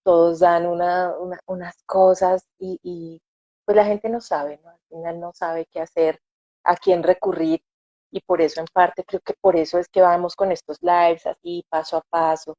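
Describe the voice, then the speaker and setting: A woman's voice: on the low side, little pitch movement, normal speed, mellow, dry recording. A woman hosting a live-stream interview, talking in a reflective way and often tagging her points with questions. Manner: reflective, repeats with "o sea" and "¿no?". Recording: dry dull lo-fi sound.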